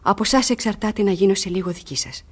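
An actress playing a bold, desperate young woman in love in a radio drama, urgent and expressive. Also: mid-range voice, breathy edge, lightly acted. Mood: urgent, confiding. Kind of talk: theatrical radio drama acting.

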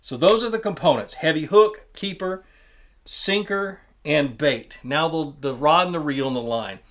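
A man's voice: on the high side, moderate pace, a little hiss or hum. Easygoing man explaining fishing gear. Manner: relaxed, plainspoken, restarts words while showing gear. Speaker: fishing channel host. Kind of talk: casual tackle explainer. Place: small indoor studio with wooden wall.

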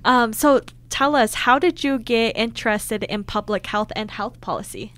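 A woman's voice: on the high side, moderate pace, fairly bright tone. A woman speaking as a radio show host, interviewing a guest in a studio.

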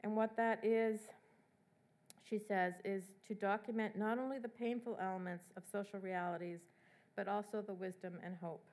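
A middle-aged woman lecturing from a podium in a hall, speaking in a measured way with fillers and restarts. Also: mid-range voice, fairly even intonation, a little mellow. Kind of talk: academic lecture.

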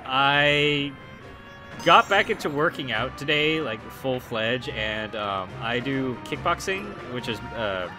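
A hoarse young man giving goofy, excitable commentary over his gameplay, full of ums and likes. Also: mid-range voice, takes it slowly. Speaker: let's play gamer. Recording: game music behind voice.

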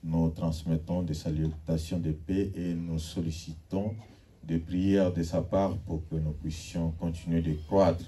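A man's voice: deep voice, little pitch movement, unhurried, warm tone. A man giving formal church announcements and leading call-and-response during a service. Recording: distant mic with faint noise.

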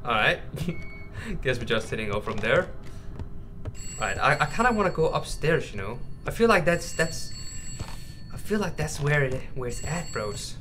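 Nervous guy gamer chatting thru a horror game, bright voice, noisy ambience behind him. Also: a little high. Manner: nervous, addresses viewers as bros and mate. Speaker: gaming YouTuber. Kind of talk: casual horror game playthrough commentary. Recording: clear background noise with game ambience.

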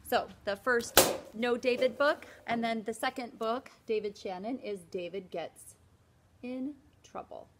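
A woman in her forties, a teacher, reading a picture book aloud from indoors and pausing to explain the pages, with a slow, emphatic parent's voice for the scolding lines. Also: on the high side, very noisy. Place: indoors, small room before a plain wall.

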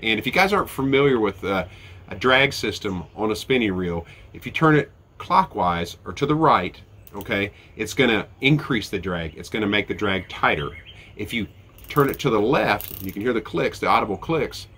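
Nasal bright-voiced man reviewing gear. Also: fairly low pitch, animated, moderate pace. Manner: chatty, explains step by step with fillers. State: nasal from a head cold. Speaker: fishing channel host. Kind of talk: casual product review. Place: outdoor deck by a lawn.

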